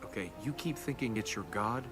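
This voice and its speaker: An actor playing a young, sarcastic adventurer prince, a flippant and reluctant hero in a video game. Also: male voice, on the low side, a little fast, natural voice. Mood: wry. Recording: music behind the voice. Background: game music.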